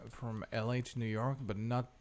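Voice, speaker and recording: A man hosting a podcast, chatting casually and halting often with fillers. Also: medium pitch, level tone, darkish tone. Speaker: podcast host. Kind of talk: casual chat.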